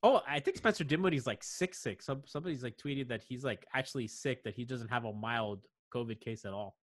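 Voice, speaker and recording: A man co-hosting a podcast, chatting casually about basketball and often trailing off quietly. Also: mid-range voice, fairly quick, no room sound. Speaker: podcast co-host. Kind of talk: casual sports chat.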